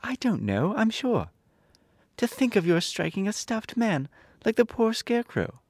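A man voicing a brave young girl with a high, scolding tone in a storybook reading. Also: very animated, measured pace, darkish tone, no room sound, clean studio sound, full character voice.

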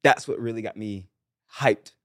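A man's voice: lowish voice, normal speed, a bit bright, dry recording, clean studio sound. A man from Barbados, guest on a podcast, chatting casually and easygoing, often adding "you know what I'm saying.". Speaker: guest musician.

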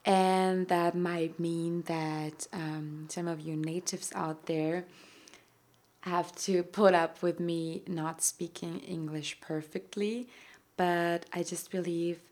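Slow-speaking Austrian woman, low and soft. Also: level tone. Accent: Austrian German. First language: German. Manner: gentle, rambling, with soft laughs and many fillers. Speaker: podcast host. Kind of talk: casual solo podcast monologue.

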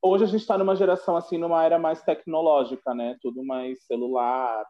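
A man's voice: fairly high pitch, little pitch movement, brisk, lo-fi sound. A man with a Brazilian accent chatting casually in a group, playful, camp and colloquial. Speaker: podcast panelist.

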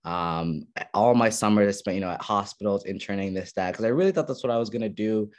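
A man's voice: on the low side, fast talker. A young man from Ethiopia, a podcast guest casually telling his story from his college dorm with many fillers. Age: young adult. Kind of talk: casual interview chat.

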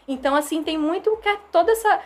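High-voiced woman with a Northeastern Brazilian accent. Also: quick pace. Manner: earnest, animated storyteller. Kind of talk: casual chat.

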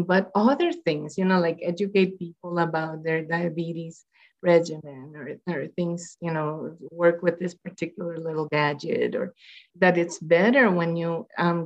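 Woman from Puerto Rico, interview guest, lowish voice, rambling delivery full of fillers. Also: darkish tone, dull audio. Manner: rambling, with many fillers and restarts. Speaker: guest, public health researcher. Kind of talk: conversational interview.

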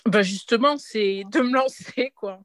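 A woman in her fifties from Morocco, a podcast interview guest who talks in a halting way with frequent restarts. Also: a little high, dry recording, studio quality. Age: 50s. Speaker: interview guest, tea room owner.

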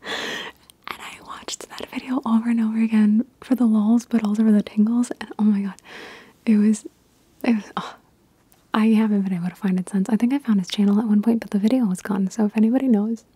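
Female ASMR creator, very breathy whisper, soft dark tone, dry close-mic sound, informal rambling delivery. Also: a little high, fairly even intonation, moderate pace, pauses often, dry recording. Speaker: ASMR video creator answering viewer questions.

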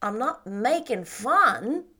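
Lady doing a grumpy little ten year old boy, loud and blunt. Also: a little high, very animated, takes it slowly, husky, exaggerated voice. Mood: sulky. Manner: sulky, plainspoken, stumbles over big words.

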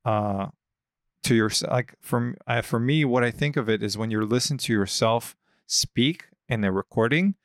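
Smooth-voiced man, halting podcast talk. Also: mid-range voice, no room sound, studio quality. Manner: halting, searches for words, many restarts. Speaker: podcast host. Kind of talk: casual podcast chat. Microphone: studio podcast mic.

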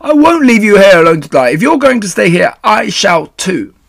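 A man's voice: mid-range voice, big pitch swings, moderate pace, fairly bright tone, breathy edge, dry recording, clean studio sound. A man voicing a frantic, tearful young male pig in a children's story, loyal and pleading, with urgent, wailing delivery. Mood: tearful, defiant.

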